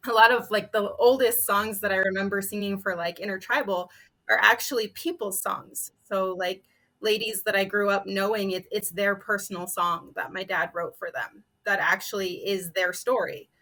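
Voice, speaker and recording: A woman's voice: mid-range voice, fairly even intonation, normal speed, bright, forceful tone, low-quality recording. A woman on a panel, casually telling personal stories in an informal, conversational way. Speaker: storyteller panelist.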